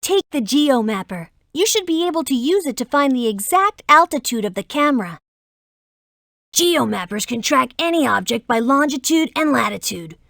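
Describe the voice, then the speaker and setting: A woman's voice: sits high, sing-song, moderate pace, creaky, fairly bright tone, no room sound, clean studio sound, full character voice. A woman playing a confident, take-charge young spy leader explaining missions in an educational cartoon game. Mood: encouraging.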